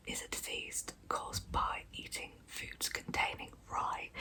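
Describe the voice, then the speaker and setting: A woman tells true crime in a soft, breathy ASMR whisper, close to the microphone. Her delivery is slow and measured, with a bright, sibilant edge. Wry, casual asides break up the scripted narration. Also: low-quality recording. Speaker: ASMR storyteller and channel host.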